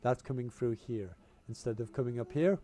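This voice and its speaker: A man's voice: mid-range voice, a little fast, dark tone. A man with a cold and a cough, giving a casual step-by-step computer tutorial over a headset mic and repeating simple instructions. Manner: repeats "you wanna" instructions, informal and chatty. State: has a cold and a tickly cough. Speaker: channel host giving a tech tutorial. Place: home room, indoors. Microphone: gaming headset mic.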